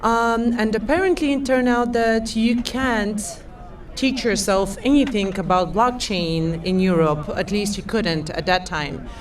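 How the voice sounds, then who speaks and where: A woman panelist telling her personal story, pausing often with fillers. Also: medium pitch. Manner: hesitant, with many fillers. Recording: far from the mic.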